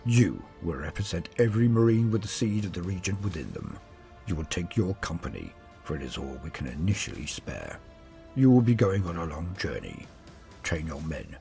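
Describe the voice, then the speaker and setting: A man's voice: deep voice, very animated, normal speed, gravelly, dark tone, soft background music, heavily acted. A man voicing a grizzled giant warrior commander in a sci-fi audio story, solemn, portentous and commanding. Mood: grave, commanding. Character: grizzled giant Space Marine chapter lord, grave and commanding. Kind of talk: audiobook character acting.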